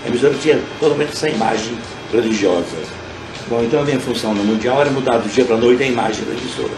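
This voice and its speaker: A Brazilian man recalling his work in a spontaneous interview, halting and repeating words as he searches. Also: mid-range voice, over music. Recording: roomy, clearly noisy interview recording.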